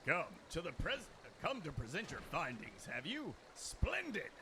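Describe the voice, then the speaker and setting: A man voicing a pompous, boastful gentleman inspector in a video game, with grandiloquent, declamatory delivery. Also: fairly high pitch, wildly swooping pitch, measured pace, very noisy, full character voice. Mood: pleased, appraising. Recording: loud music behind. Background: game music.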